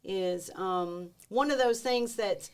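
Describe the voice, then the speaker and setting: A woman's voice: medium pitch, takes it slowly, clear, resonant tone. A woman with a mid-range voice hosts an interview podcast. She talks in a friendly, conversational way and works out each question aloud as she asks it. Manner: friendly, thinks aloud while framing questions.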